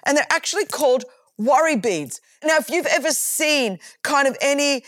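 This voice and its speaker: Australian woman preacher, high-pitched, emphatic and chatty, dry close sound. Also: moderate pace, no room sound. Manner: animated and emphatic, with conversational asides and repeated words. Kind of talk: sermon.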